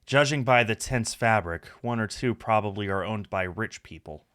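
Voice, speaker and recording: Low-voiced man reading a visual novel. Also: fairly bright tone. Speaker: game streamer. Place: indoor room, music behind the voice.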